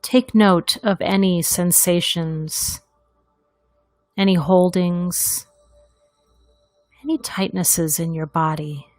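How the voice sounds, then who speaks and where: A woman guides a meditation in a gentle, deliberate way. Her pace is very slow, with long pauses, and her voice sits a little low. The sound is dry and close, with little room around it. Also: fairly even intonation, dry recording, faint music behind.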